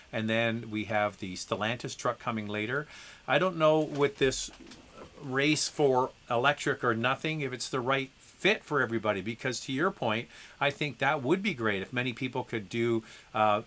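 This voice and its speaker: Guy with a head cold doing a live q&a, stuffed up, bright tense voice, lots of ums. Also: medium pitch. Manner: conversational, with frequent ums and restarts; reads viewer messages aloud. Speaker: host answering viewer questions. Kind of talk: live stream Q&A.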